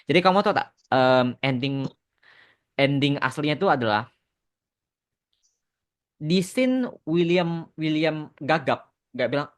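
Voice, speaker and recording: A man's voice: fairly high pitch, expressive, measured pace, long pauses. A young man telling stories casually on a podcast, informal and mixing in English phrases. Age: young adult. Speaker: animation creator answering fan questions on a podcast.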